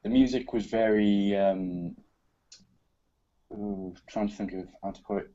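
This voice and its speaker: Low-voiced man, dull lo-fi recording. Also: fairly even intonation, a little slow, mellow. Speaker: composer. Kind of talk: casual interview answers.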